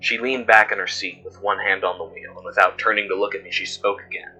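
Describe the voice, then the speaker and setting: Deep-voiced man narrating horror over music. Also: steady tone, fast talker, pushed and bright, husky, poor audio. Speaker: narrator of a fictional horror audio log. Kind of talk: scripted first-person horror narration. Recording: music bed under voice. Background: background music.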